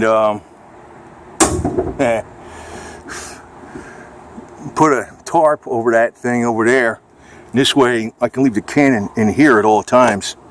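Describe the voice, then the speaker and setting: Low-voiced American man rambling over background noise. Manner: informal, salty, matter-of-fact. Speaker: amateur video blogger. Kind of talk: casual rambling vlog chat. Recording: noisy amateur recording, vehicle ambience.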